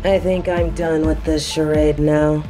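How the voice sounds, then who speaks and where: A woman's voice: low voice, flat delivery, a little slow, echoey room, over music. A woman playing a smug, bored sorceress turned journalist in an audio drama, with a sardonic, condescending way of talking. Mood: bored, unimpressed. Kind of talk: audio roleplay drama acting.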